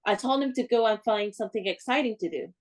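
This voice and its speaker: A woman's voice: a little high, fast talker, lightly acted. An actress playing a spirited, playful, bossy young woman in a radio play, with a theatrical, instructing manner. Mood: amused, musing.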